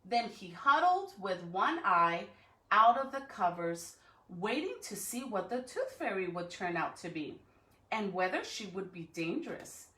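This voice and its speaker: A woman's voice: medium pitch, sing-song, a little slow, fairly bright tone. A woman speaking expressively to young students as a school educator doing a storybook read-aloud. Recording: echoey room.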